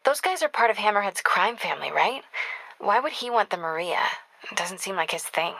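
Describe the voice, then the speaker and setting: An American actress playing a young woman reporter in a video game, caring, wry and quick-witted, in natural conversational dialogue. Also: mid-range voice, animated, gravelly, pushed and bright, dry recording, exaggerated voice, low-quality recording. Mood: earnest.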